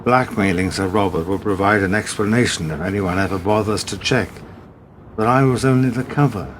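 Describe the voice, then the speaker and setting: An actor in a radio play, playing a calm, coldly measured British spy who confronts a traitor colleague in a composed, deliberate way. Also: male voice, on the low side, a little hiss or hum, close to an everyday voice. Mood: cold, accusing.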